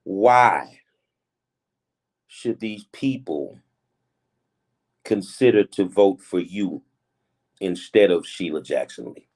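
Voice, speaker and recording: A man's voice: deep voice, slow pace, long pauses, a little mellow. A man in his fifties hosting a talk show, interviewing a guest in a casual, emphatic way with informal grammar. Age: 50s. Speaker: show host.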